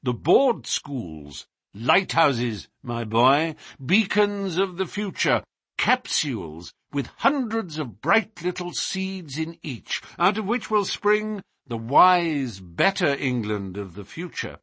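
Man playing a declamatory gentleman detective, very animated. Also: a little high, slow, breathy edge, no echo, studio quality, pitched above the performer's own voice, exaggerated voice. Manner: declamatory, philosophical, precise. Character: brilliant, musing, self-assured gentleman detective.

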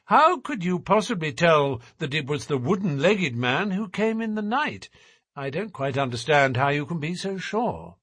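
Guy reading as a shrewd detective in an audiobook, polite n formal, coaxing, slightly husky, close dry sound. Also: on the high side, sing-song, a bit bright, no echo, voice pushed up, heavily acted. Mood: bland probing. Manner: smooth, measured, politely formal. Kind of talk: audiobook character voice.

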